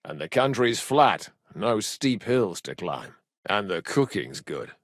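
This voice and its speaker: A man voicing a gruff, sardonic, hard-drinking medieval mercenary in a video game, with a blunt, earthy, foul-mouthed way of talking. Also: on the low side, very animated, slow, dry recording, clean studio sound, full character voice. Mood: casual, grumbling. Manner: earthy, blunt, swearing, wry. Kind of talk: video game character dialogue.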